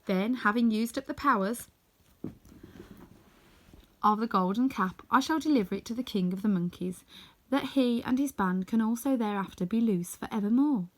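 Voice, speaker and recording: A kind good witch in a storybook, acted by a woman reader. She sounds regal and assured, in a high feminine voice. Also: normal speed, lightly acted. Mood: instructive. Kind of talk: children's storybook read-aloud.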